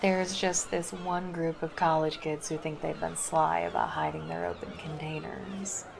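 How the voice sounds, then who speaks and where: A woman's voice: deep voice, steady tone, normal speed, creaky, noisy background. A woman voicing a laid-back, flirty female lifeguard in an ASMR roleplay, chatting casually and teasing. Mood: easygoing.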